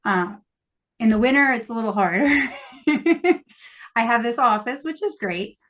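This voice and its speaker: A woman's voice: fairly high pitch, expressive, measured pace, a bit bright. A woman chatting casually as an author guest on a podcast, easygoing and laughing often. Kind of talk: casual chat.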